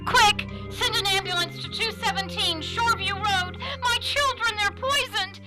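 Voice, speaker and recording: A woman playing a shrill panicked mother. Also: moderate pace, over music. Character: frantic mother of poisoned children. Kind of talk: radio play acting.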